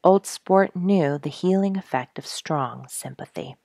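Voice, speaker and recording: A woman reading a story aloud as a host, in measured, expressive storytelling phrases. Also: fairly low pitch, creaky, dry recording, clean studio sound. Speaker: story reader, show host. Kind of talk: scripted storybook narration read aloud.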